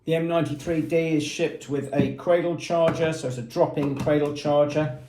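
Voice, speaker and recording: A man's voice: on the high side, fairly even intonation, moderate pace, reverberant, background noise. A man presenting a product demonstration in a methodical, matter-of-fact, explanatory way.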